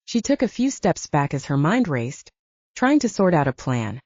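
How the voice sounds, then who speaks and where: Mellow, animated woman narrating fiction. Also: deep voice, normal speed, no echo. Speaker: audiobook narrator. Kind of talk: dramatic scripted fiction narration. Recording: dull, band-limited audio.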